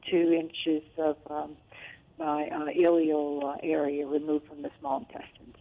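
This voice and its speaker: Woman, caller, hesitant, low voice, tinny phone line. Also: very animated, unhurried, creaky. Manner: hesitant, many fillers. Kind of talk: call-in question.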